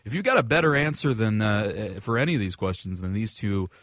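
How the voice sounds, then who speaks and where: A man's voice: lowish voice, expressive, a little fast, fairly bright tone, no echo, clean studio sound. An American man co-hosting casual sports talk radio with a joking, bantering manner.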